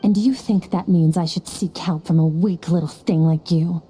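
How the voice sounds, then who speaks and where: A woman voicing a cold, dark-voiced swordswoman. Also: lowish voice, moderate pace, slight background noise, natural voice, rough recording. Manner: cold, flat, unemotional. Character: cold, detached adult swordswoman. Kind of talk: video game cutscene dialogue. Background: faint music.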